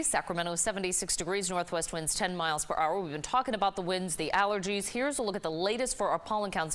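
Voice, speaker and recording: A woman's voice: medium pitch, a bit bright. An American woman giving an ad-libbed TV weather report in a conversational, upbeat way. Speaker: TV weather presenter. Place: TV news studio.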